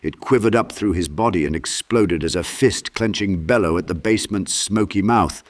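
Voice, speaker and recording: A man's voice: low-pitched, moderate pace, dry recording. A man reading a horror audiobook as a narrator, in a theatrical, intense storytelling manner. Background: sound effects with clicks.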